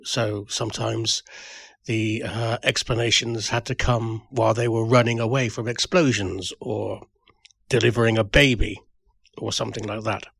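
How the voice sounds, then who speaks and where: Creaky-voiced man, thoughtfully reminiscing. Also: lowish voice, fairly even intonation, moderate pace, fairly bright tone, no room sound. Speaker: writer and producer, guest. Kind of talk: reminiscing, spoken tribute.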